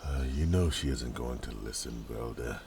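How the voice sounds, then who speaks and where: A man playing a dry, weary royal knight and protector in a fantasy audio drama, with a gravelly voice. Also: a bass voice, moderate pace, a little mellow, a little hiss or hum. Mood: dry exasperation.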